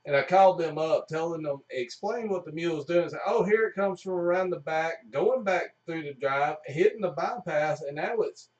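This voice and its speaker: A man's voice: fairly high pitch, normal speed, hardly any pauses, a little mellow, recorded from a distance, dull audio. A man hosting a live stream with casual, jokey banter and storytelling.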